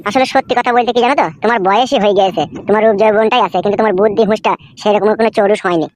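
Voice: mid-range voice, steady tone, racing, a little mellow, a little hiss or hum, lightly acted. A performer voicing a crude, wheedling, lovestruck younger brother-in-law in a comic cartoon. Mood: coaxing.

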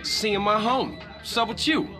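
A man's voice: high-pitched, lively intonation, moderate pace, over music. An actor playing a loyal, easygoing young man back home, speaking casual street in a video game. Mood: friendly. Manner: casual, slangy. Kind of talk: video game cutscene acting.

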